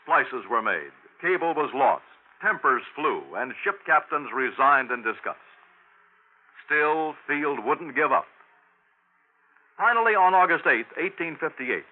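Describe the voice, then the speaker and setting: An American man giving solemn, dramatic scripted narration in 1950s radio style. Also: fairly high pitch. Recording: tinny, band-limited 1950s radio recording.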